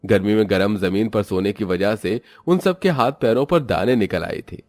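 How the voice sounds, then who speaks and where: Man, low voice, scripted storytelling narration, dry recording, background music. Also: moderate pace, a little mellow, no echo.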